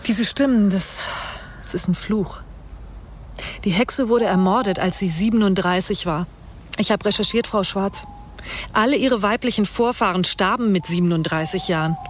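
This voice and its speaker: A woman's voice: mid-range voice, normal speed, close to an everyday voice. An anxious woman investigator in a radio thriller, voiced by an actress. She sits in a medium register and presses urgently and insistently, with some background noise around her. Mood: insistent, uneasy. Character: insistent, anxious female investigator under threat. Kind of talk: modern radio drama acting.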